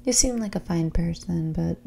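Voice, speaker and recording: Casting: a woman as mean rich girl for ASMR roleplay, breathy whispers and soft speech, low and mellow, condescending and bratty. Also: a little hiss or hum. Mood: scornful. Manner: condescending, bratty, dismissive, drawn-out casual phrasing. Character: mean, snobby rich young woman on a bad date. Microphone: close ASMR microphone.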